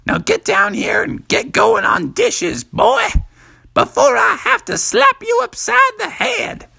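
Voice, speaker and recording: A storyteller voicing a loud, scolding but loving mother who draws out her words. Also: huge pitch swings, creaky, exaggerated voice. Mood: bossy. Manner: high strained shouting, drawn-out words.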